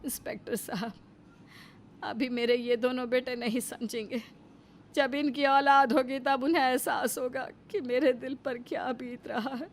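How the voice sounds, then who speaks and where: Woman playing stern widowed mother-in-law, higher-pitched. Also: steady tone, a little slow, slight background noise. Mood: sorrowful, resolute. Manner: firm, admonishing. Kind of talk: acted storybook dialogue.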